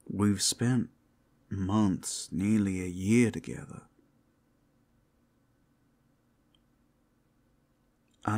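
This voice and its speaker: Man acting a low-voiced, devoted werewolf lover. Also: natural voice. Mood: tender, earnest. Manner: soft endearments, halting heartfelt delivery, teasing asides. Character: tender, devoted, protective male werewolf lover working at a brothel. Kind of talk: intimate ASMR roleplay acting.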